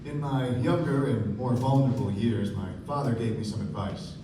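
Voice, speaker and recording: A man playing a reflective, well-bred young narrator of the 1920s in a measured, literary stage delivery. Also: mid-range voice, noisy background, lightly acted. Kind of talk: scripted first-person stage narration. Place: stage of a small theatre. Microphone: far camera. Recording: echoey theatre from far off, music behind.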